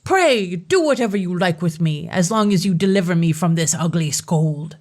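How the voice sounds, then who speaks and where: A woman's voice: lowish voice, normal speed, darkish tone, natural voice. A woman reading a young lovesick king, declamatory. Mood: defiant. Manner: pleading, declamatory.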